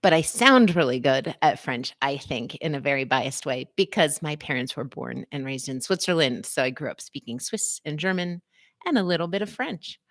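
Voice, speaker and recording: A middle-aged woman hosting a casual live stream, playful and chatty, greeting viewers by name with high swoops in her voice. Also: lively intonation, moderate pace, no echo. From United States. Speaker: livestream host, physical therapist. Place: small office.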